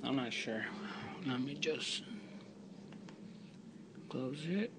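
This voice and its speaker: Man, unhurried, mid-pitched, casual tutorial voice, spells things out, slight background hiss, recorded on a handheld camera. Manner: slow, spells out commands letter by letter. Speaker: tutorial maker. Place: indoors at a desk with a laptop. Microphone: handheld camera filming the screen.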